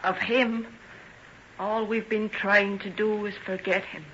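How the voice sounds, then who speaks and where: An actress in an old-time radio drama playing a farmer's anxious, protective daughter, firm and plain-spoken. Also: female voice, mid-range voice, little pitch movement, slow pace, fairly bright tone. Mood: wary. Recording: dull old radio recording, faint noise.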